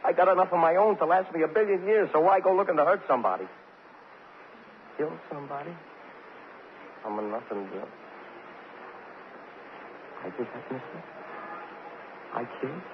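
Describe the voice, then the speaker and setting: A man's voice: on the high side, close to an everyday voice. An actor playing a self-pitying, cornered drunk man who stammers and repeats himself in an old radio crime drama. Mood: anguished, pleading. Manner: halting, repetitive, stammering, street slang. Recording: muffled, hissy old radio recording.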